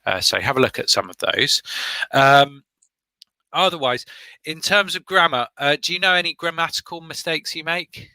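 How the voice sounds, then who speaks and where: A man's voice: a little high, moderate pace, pushed and bright, clear, resonant tone, no room sound. A man who is a former examiner, asking practice questions and giving encouraging, step-by-step feedback over an online video call. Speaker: former IELTS examiner running speaking practice.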